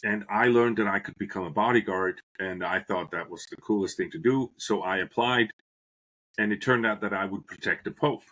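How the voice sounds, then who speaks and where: Middle-aged Swiss-born man, low-pitched, earnest podcast guest recounting his life story. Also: moderate pace, fairly bright tone, low-quality recording. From Switzerland. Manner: earnest, restarts phrases while searching for words. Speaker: guest, business professor. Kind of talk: podcast interview, reflective storytelling.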